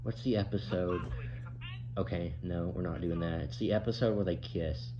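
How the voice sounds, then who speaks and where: A young man giving casual, off-the-cuff reaction commentary, halting and repeating himself as he complains. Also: deep voice. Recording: dull mic with clear background noise.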